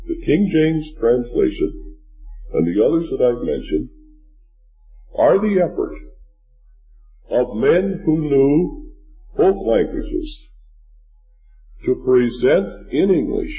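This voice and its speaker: An older man preaching a sermon in a measured, earnest way, stressing words slowly. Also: medium pitch. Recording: dull lo-fi recording with faint music.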